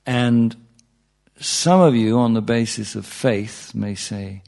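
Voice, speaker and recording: A man's voice: medium pitch, slow pace, vocal fry, a little mellow. A man giving an informal, joking talk as a meditation teacher, often checking in with his listeners. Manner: conversational, joking, checks in with "yeah?" and "you know". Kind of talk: informal spiritual talk to a group.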